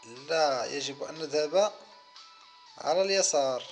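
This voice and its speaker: A man hosting a children's gaming video, giving simple commentary in short, clear-cut phrases for young viewers. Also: a little high, expressive, a little slow, faint music behind. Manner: counts aloud, speaks in short simple phrases.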